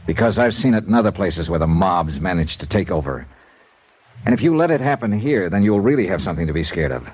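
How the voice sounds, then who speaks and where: Husky hard-boiled investigator. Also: male voice, low-pitched, big pitch swings, fast talker, a little mellow, heavily acted. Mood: stern. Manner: blunt, pressing, hard-boiled. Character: tough, blunt male insurance investigator, adult. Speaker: insurance investigator and narrator. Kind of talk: 1950s radio drama acting.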